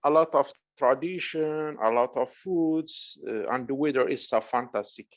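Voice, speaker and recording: A man from Morocco with a Moroccan accent, speaking in halting, non-native phrasing as a panelist on a video call webinar. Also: mid-range voice, dull audio. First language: Arabic. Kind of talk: casual video call chat.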